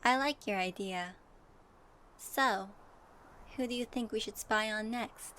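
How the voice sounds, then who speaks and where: A woman playing a gentle, curious young female traveler in a soft ASMR audio roleplay, pausing as if for an unheard partner. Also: fairly high pitch. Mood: playful.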